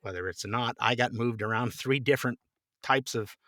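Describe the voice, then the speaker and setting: A man in his sixties telling casual anecdotes as a podcast guest, with a jokey, animated manner. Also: mid-range voice, moderate pace, no echo, studio quality. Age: 60s. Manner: jokey, animated, slips into voices when quoting.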